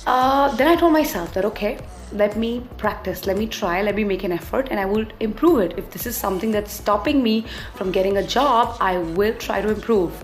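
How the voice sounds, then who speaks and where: A woman's voice: medium pitch, fairly quick. A native Hindi-speaking woman with an Indian accent, sharing personal experience and advice in an encouraging, conversational way as a video host. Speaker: English communication trainer and video host. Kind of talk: personal story and advice to camera. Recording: roomy echo, music underneath.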